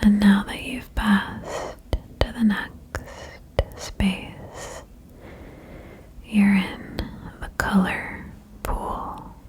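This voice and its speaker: A woman whispering a guided ASMR meditation in a breathy, soothing way, with gentle instructions and long pauses. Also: medium pitch, level tone, low-quality recording. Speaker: ASMR host guiding a relaxation game.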